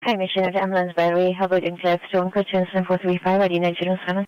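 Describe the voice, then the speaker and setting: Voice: mid-range voice, completely flat delivery, racing, dull audio, slight background noise. A police dispatcher reading out calls in a clipped, procedural style over a band-limited radio. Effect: police radio transmission. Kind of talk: rapid police scanner dispatch.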